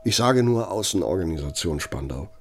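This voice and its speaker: An actor in a radio drama playing an aging, bitter Nazi architect, speaking in a reproachful, deliberate, rhetorical manner. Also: male voice, low voice, lively intonation, soft background music. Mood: bitter, aggrieved. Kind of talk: German radio drama monologue.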